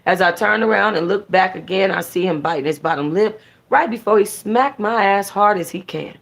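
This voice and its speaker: A woman's voice: mid-range voice, moderate pace, vocal fry. A woman hosting a book club, reading a first-person story aloud in an informal way, sometimes stumbling and correcting herself. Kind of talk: animated first-person audiobook-style reading with casual asides.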